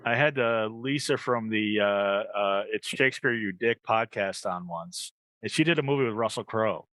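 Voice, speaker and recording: A man's voice: on the low side, normal speed, a bit bright, no room sound. An American man hosting a podcast, chatting casually in an informal, joking way. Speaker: podcast host. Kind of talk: casual chat.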